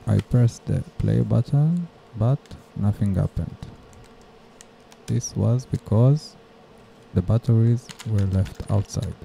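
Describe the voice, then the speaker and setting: A man narrating a DIY tutorial in a plain, measured, step-by-step way, like a scripted instructional voice-over. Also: lowish voice, gravelly, warm tone, slight background noise, rough recording.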